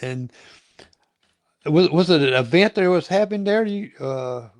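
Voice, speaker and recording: Host, male, from North Carolina, slightly high voice, dry close mic, rambling and chuckly. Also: lively intonation, unhurried, dry recording. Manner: rambling, chuckling often, many restarts. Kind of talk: casual interview chat.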